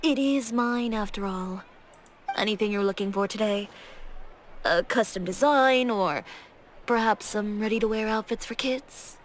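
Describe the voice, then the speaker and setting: An actress voicing a poised, proud fashion designer in a video game, speaking in a cool, measured, slightly dry way. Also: female voice, mid-range voice, a little hiss or hum, natural voice. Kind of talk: game dialogue. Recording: game voice line over music. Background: game soundtrack music.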